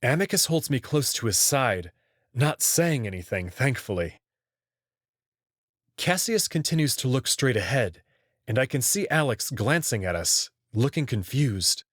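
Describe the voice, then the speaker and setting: A man giving a measured, scripted first-person narration as he reads a visual novel. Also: medium pitch, very animated, a bit bright, slightly rough, dry recording, studio quality. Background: prominent music.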